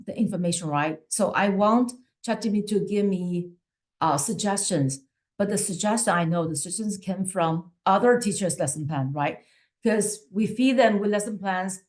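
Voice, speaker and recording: A woman whose first language is not English, giving a teaching presentation over a video call in a conversational way, often checking in with "right?" and pausing on fillers. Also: mid-range voice, a little mellow. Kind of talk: webinar presentation.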